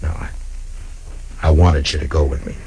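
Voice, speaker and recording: An American actor playing a gruff, laconic but protective frontier marshal in an old radio western. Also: male voice, very deep voice, fairly even intonation, normal speed, dark tone. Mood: brooding, stubborn. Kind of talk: 1950s radio western acting. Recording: noisy old radio recording.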